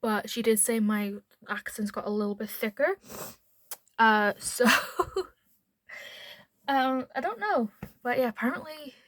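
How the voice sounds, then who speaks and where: A woman's voice: fairly high pitch, measured pace, pauses often, dry recording. A woman with a mild Northern Irish accent chatting casually to camera at home, rambling into asides as a beauty vlogger. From Northern Ireland.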